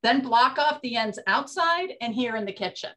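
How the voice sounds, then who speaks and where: An actress playing a brash, slangy speakeasy proprietress of the 1920s in a comic period drama. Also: female voice, a little high, animated, normal speed, a bit bright. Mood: bossy, brisk. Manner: bossy, slangy. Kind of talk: period comedy radio-play acting.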